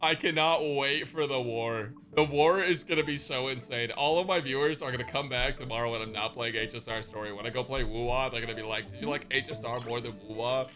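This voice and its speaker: A man's voice: mid-range voice, fairly even intonation, brisk, few pauses, a bit bright. A man chatting casually as a live game streamer, slangy and joking, with swearing and hyperbole. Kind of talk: casual livestream chat.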